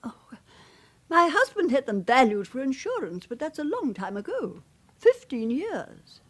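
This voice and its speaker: An actress playing a genteel, anxious elderly titled widow in a radio drama, speaking politely and hesitantly, with a wistful manner. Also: female voice, high-pitched, big pitch swings, unhurried, exaggerated voice. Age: older. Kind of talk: naturalistic British radio drama acting.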